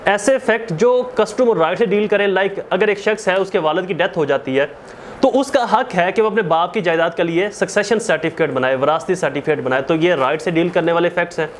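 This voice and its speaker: Casting: male law lecturer, Pakistani accent, high voice, didactic and repetitive for emphasis, faint noise behind him. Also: brisk. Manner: didactic, asks and answers own questions, repeats points for emphasis; code-switches into English legal terms. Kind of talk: explanatory lecture.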